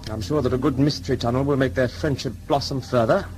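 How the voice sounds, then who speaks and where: A man's voice: medium pitch, fairly even intonation, fast, darkish tone, close to an everyday voice, rough recording. A man acting in an audio drama as the governor of a convict crew, with a folksy, pompous, lecturing manner. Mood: stern, lecturing. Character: gruff male governor of a convict scavenger crew, musing and condescending. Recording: music under the dialogue.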